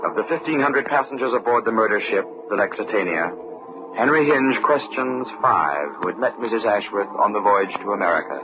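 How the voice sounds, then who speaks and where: A man's voice: lowish voice, lively intonation, brisk, lo-fi sound, heavy background noise. A man giving scripted dramatic narration as the storyteller and announcer of an old-time radio mystery. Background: music.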